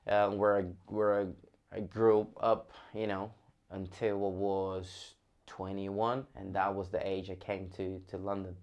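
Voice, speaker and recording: A young Brazilian man with a Brazilian Portuguese accent, a fighter telling his story in a casual interview, speaking haltingly with many restarts. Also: low-pitched, flat delivery, darkish tone, highs cut off.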